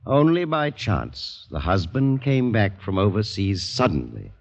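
In a dull old radio recording, a worldly, composed older man with a husky voice. His theatrical delivery is deliberate and probing, rising and falling widely as he insinuates. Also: lowish voice, big pitch swings, full character voice. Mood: storytelling. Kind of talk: 1940s radio drama acting.

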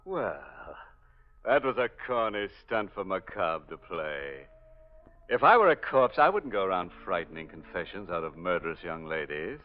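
An actor playing a sardonic, mock-sinister host of a 1940s radio horror show, gleefully macabre. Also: male voice, low voice, very animated, moderate pace. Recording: muffled vintage radio broadcast recording.

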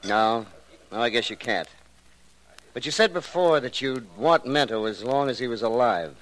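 Actor as an intense, brooding suitor, medium pitch. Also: male voice, animated, moderate pace, fairly bright tone, close to an everyday voice, rough recording. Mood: bitter, brooding. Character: earnest, jealous male suitor with brooding menace. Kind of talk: 1940s radio melodrama acting.

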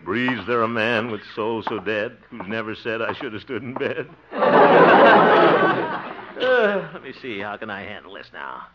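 An actor playing an excitable, easily flustered middle-aged radio host with a giggly chortle, in 1950s radio comedy style. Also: male voice, on the low side, a little slow. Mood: wry. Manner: broad comic exclamations and muttered asides. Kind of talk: 1950s radio sitcom acting. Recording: dull, very noisy old radio recording.

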